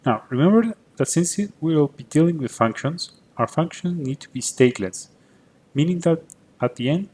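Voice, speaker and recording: A man from Cyprus, a native Greek speaker with a Greek Cypriot accent, giving a prepared conference talk in a clear, methodical way. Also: mid-range voice, a little mellow, poor audio. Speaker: conference presenter.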